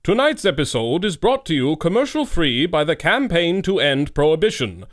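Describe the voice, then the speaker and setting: Grandiose radio serial announcer, swooping delivery. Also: male voice, fairly high pitch, big pitch swings, moderate pace. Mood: grandiose, stirring. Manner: dramatic, pompous. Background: music.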